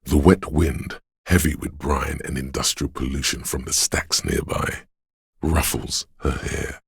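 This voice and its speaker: An actor voicing a brooding, poetic inner sense of a haunted city in solemn, measured, atmospheric game narration, very deep and gravelly. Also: male voice, fairly even intonation, no echo, studio quality, full character voice. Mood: solemn, eerie.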